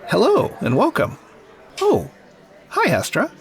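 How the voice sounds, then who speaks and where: A man acting a friendly, attentive tavern keeper with a gravelly voice in a fantasy audio drama. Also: high-pitched, wildly swooping pitch, takes it slowly, some chatter behind, full character voice. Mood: welcoming, curious.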